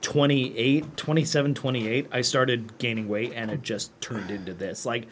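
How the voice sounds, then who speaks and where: A man's voice: medium pitch, fairly even intonation, normal speed, a little hiss or hum. A man in his thirties co-hosting a studio talk show, speaking thoughtfully and candidly. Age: 30s. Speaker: co-host of detailing talk show. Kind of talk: casual studio chat.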